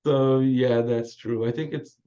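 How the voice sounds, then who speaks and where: A man's voice: medium pitch, steady tone, unhurried, mellow, muffled recording. A man, chatting casually as a chef guest in an interview, with easy fillers and restarts. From southern Brazil.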